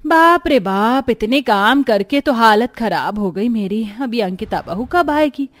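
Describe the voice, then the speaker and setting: A woman's voice: a little high, expressive, unhurried, dry recording, clean studio sound. A woman voicing a greedy middle-aged mother-in-law in a moral cartoon story, with a melodramatic delivery. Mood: weary.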